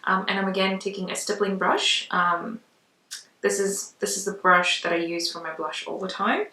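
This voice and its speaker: A woman's voice: medium pitch, steady tone, normal speed, pushed and bright. A woman giving a casual makeup tutorial in a chatty, conversational way. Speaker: makeup vlogger. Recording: roomy echo, distant mic.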